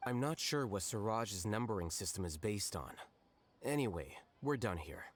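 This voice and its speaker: An actor playing a detached young male scholar in a video game, speaking in a calm, flat, matter-of-fact way. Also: lowish voice, normal speed, dry recording. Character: aloof, cool-headed male scholar, young adult. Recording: game audio with clear background music.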